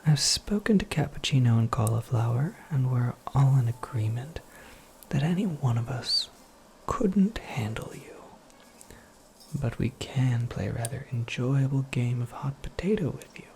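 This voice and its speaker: A performer voicing an eccentric, absent-minded witch in a breathy, creaky ASMR roleplay, rambling with wry, cryptic politeness. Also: mid-range voice, expressive, unhurried, darkish tone, slight background noise, rough recording. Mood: playfully formal. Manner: rambling, whimsical, politely formal, self-correcting. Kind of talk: soft-spoken ASMR roleplay monologue.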